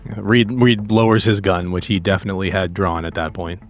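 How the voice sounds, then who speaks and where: A man playing a tabletop game, narrating his character in deliberate, dramatic phrases. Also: low-pitched, fairly even intonation, soft background music. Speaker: tabletop role-playing player. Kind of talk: literary improvised character narration.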